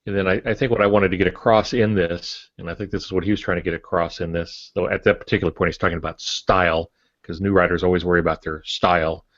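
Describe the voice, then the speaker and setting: Low voiced guy cohosting a podcast, reflective and explainy, dry dull recording. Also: steady tone, quick pace, fairly bright tone, no echo. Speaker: podcast co-host discussing writing. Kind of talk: casual podcast discussion.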